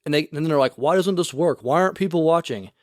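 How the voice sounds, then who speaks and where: Fast-talking ranting man podcaster. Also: a little high, no echo, clean studio sound. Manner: fast, ranting, sarcastic, heavy filler "like". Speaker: podcast co-host. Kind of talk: casual chat.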